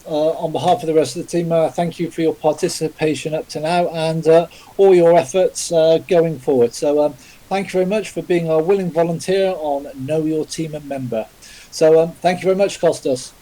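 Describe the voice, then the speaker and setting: A man's voice: fairly high pitch, steady tone, normal speed, dark tone, low-quality recording. A man hosting a live podcast over a voice chat, polite and formal, with many fillers. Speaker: podcast host, interviewer. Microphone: remote voice chat call.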